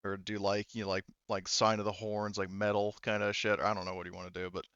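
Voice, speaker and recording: A man's voice: lowish voice, little pitch movement, fast talker, a bit bright, clear, resonant tone, no echo, muffled recording. A man casually narrating a tabletop game as game master, improvising in informal, rambling, hesitant phrases.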